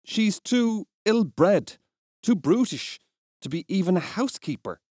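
An actor reading letters as an angry, exasperated composer, with emphatic, halting phrasing and sudden bursts. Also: medium pitch, very animated, a little mellow, dry recording, studio quality. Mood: scornful, exasperated. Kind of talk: dramatic reading of historical letters.

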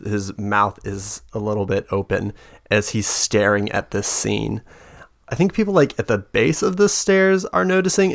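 A man narrating a tabletop game as game master, slow and hesitant with many fillers, getting over the flu. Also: lowish voice, vocal fry, dry recording, studio quality. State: recovering from flu.

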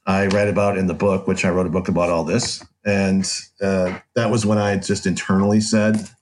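Middle aged american guy, lowish voice, telling his story casually, kinda wry and candid. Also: flat delivery, normal speed, a little hiss or hum. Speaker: podcast guest, author. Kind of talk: conversational personal storytelling.

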